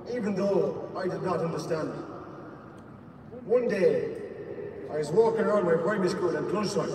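A man in his twenties, from Iraq, giving an earnest prepared speech at a podium on an outdoor stadium pitch. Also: noisy background. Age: 20s. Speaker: speaker at a public event. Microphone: podium mic over the stadium PA, heard from the stands. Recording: echoey stadium PA from far off.

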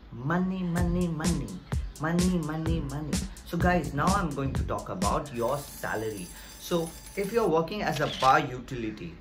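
A man, explaining things to viewers in an emphatic, repetitive, direct way. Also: a little high. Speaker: video host giving career advice. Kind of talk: explainer vlog to camera. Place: small plain room indoors. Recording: music behind the voice.

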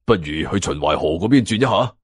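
A man with a Hong Kong Cantonese accent voicing a calm, dry, loyal male companion in an audio story. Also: low voice, fairly quick, husky, dry recording, voice pushed down.

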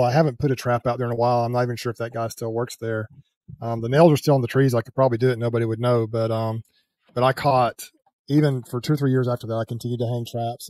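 Host role, older guy, fast and flat-toned delivery, dry close headset sound. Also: medium pitch, no echo, rough recording. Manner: rambling, self-correcting, chuckles at himself. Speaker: beekeeping channel host. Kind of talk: casual interview chat. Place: home room with a headset mic. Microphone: headset with a boom mic, video call.

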